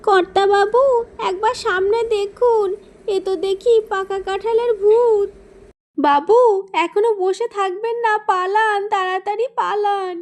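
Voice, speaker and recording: Woman doing a high-pitched servile cartoon animal servant. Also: steady tone, measured pace. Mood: panicked. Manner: deferential, flustered, stammering when scared. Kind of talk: cartoon story voice acting.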